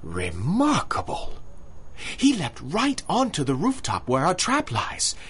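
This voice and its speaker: An actor playing an arrogant, obsessive big-game hunter in a theatrical 1930s-style radio drama, with a pompous, husky delivery. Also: male voice, fairly high pitch, wildly swooping pitch, takes it slowly, fairly bright tone, full character voice. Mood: tense, commanding.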